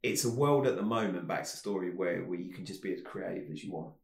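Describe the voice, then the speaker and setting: A man's voice: sits low, fast talker, creaky. A man, a food director and guest, chatting casually on a podcast, rambling with lots of fillers. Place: office. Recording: roomy echo.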